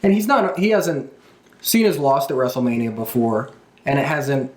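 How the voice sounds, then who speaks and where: A man's voice: mid-range voice, sing-song, moderate pace. A man hosting a casual wrestling talk show, speaking as an enthusiastic fan. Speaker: podcast host. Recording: echoey room.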